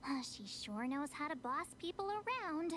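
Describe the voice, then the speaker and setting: Game sidekick: sassy young girl, high and animated, blunt, suspicious, grumbling. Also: moderate pace, slight background noise. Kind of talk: game voice acting.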